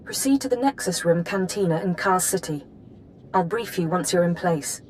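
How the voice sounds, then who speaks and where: An actress playing a businesslike intelligence handler in a video game, formal, precise and composed. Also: female voice, fairly low pitch, lively intonation, moderate pace, slight background noise, lightly acted, low-quality recording. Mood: brisk, dry. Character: crisp, businesslike female intelligence handler, coolly in control. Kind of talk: video game voice acting, mission briefing.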